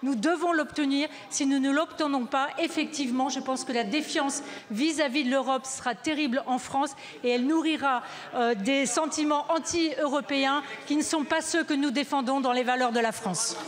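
A middle-aged woman answers in a parliament chamber, her high voice halting often on filler sounds as she builds her reply. She speaks into a gooseneck mic, and a long reverb trails off in the big hall. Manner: frequent hesitations, many filler sounds. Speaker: government minister answering. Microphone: gooseneck desk mic.

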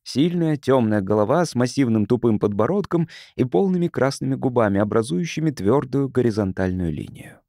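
A man's voice: mid-range voice, animated, brisk, hardly any pauses, mellow, no room sound, clean studio sound. A man reading a science fiction audiobook as a scripted narrator.

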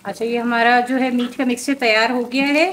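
A woman's voice: fairly high pitch, fairly even intonation, brisk, a bit bright, slight background noise. Chatty woman demonstrating a home recipe. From Pakistan. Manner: chatty, explains step by step with many fillers. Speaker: cooking show host.

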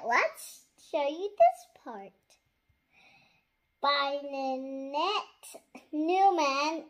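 Slow-reading little girl with swooping pitch. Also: fairly bright tone. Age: young child. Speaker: child host reading a picture book aloud. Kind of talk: children's read-aloud storytelling.

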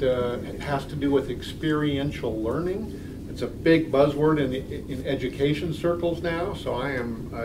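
A man's voice: a little high, distant mic, noisy background. An older man hosting an event, introducing a speaker in a small office lounge with some hesitant "um"s. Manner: hesitant, with many "um"s and "uh"s. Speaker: event host.

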